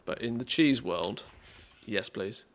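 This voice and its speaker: A man's voice: fairly low pitch, lively intonation, slow, creaky. A man with an English accent chatting casually as a game livestreamer, in a jokey, self-deprecating way. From England, born in the northwest, lived mostly in the Midlands.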